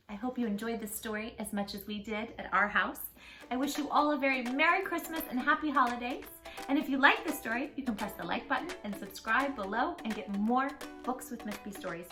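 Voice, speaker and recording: A woman reading a children's story aloud in an expressive, affectionate way, speaking straight to young listeners. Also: on the high side, normal speed, reverberant, faint music behind. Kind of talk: animated children's read-aloud.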